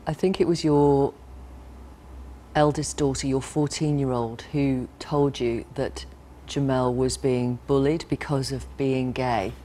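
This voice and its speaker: Measured woman interviewer, faint background noise. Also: extremely low pitch, fairly even intonation, dark tone. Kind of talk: serious broadcast news interview.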